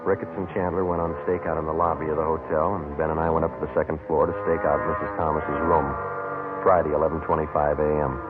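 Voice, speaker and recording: An American actor playing a terse, businesslike police detective in a 1950s radio crime drama, clipped, matter-of-fact and deadpan. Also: male voice, very deep voice, fast. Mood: deadpan, official. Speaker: actor, lead and narrator. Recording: tinny old radio broadcast, music behind.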